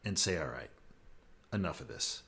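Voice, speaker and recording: A man with an American accent and a fairly low voice speaks as an interview host. He phrases his points in a measured, emphatic, conversational way. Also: expressive, sharp tone. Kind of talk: conversational current-affairs interview.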